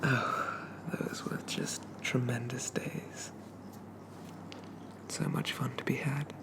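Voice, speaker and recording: A man playing a shy, old-fashioned undead zombie gentleman in an ASMR roleplay, speaking in a soft, breathy half-whisper with hesitant, quaintly polite phrasing. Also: medium pitch, level tone, slow, close to an everyday voice. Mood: wistful, fond. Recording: constant loud background noise.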